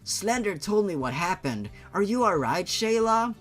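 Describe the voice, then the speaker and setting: Casting: shy, caring young boyfriend acted by a man, higher pitch, earnest, fan fiction drama. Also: very animated, unhurried, fairly bright tone, soft background music, full character voice. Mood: concerned, tender.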